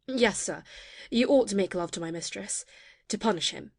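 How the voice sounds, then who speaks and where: A woman's voice: medium pitch, moderate pace, darkish tone, no echo, studio quality, lightly acted. A woman playing a cheeky, meddling maidservant, saucy and insistent, in a classic comedy audio play. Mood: saucy, conspiring.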